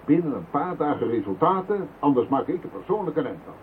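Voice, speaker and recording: A stern, skeptical superior. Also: male voice, a little high, big pitch swings, quick pace. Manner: skeptical, threatening. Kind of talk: radio drama acting. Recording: tinny narrow-band radio recording with faint hiss.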